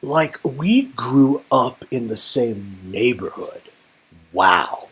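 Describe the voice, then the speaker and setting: A man's voice: medium pitch, animated, drawn-out words, fairly bright tone, slightly rough. A man giving a casual, playful dramatic reading of a story, with colloquial ad-libbed asides. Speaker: amateur reader performing an interactive game's dialogue.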